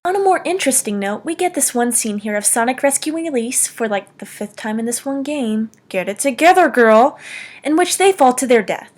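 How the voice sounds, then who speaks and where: A woman's voice: sits high, expressive, normal speed. A young woman narrating a scripted, humorous video game commentary in a self-deprecating, mock-dramatic way.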